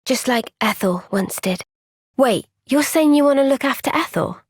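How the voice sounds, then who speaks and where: An actress voicing a young woman soldier in a game cutscene, soft-spoken and caring. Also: sits high, expressive, normal speed, husky, no echo, studio quality.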